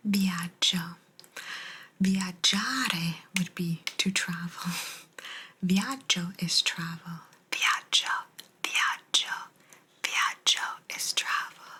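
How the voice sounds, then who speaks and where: A woman giving a language lesson in a soft, breathy ASMR whisper, gently repeating words. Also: lowish voice. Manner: slow, gentle repetition of words. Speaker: host teaching Italian vocabulary.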